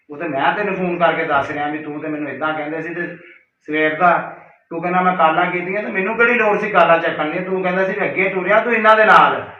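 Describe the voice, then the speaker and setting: Man, higher-pitched voice, aggrieved direct address, emphatic, repetitive, dull lo-fi call recording. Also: heavy reverb, recorded from a distance. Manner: reproachful, emphatic, repeats himself. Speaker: caller leaving a recorded personal message.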